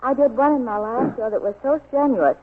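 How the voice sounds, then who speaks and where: Husky young woman, old radio. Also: on the high side, expressive, a little fast. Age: young adult. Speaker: interview guest and testimonial speaker. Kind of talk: scripted 1940s radio advertising interview. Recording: tinny, lo-fi 1940s radio recording.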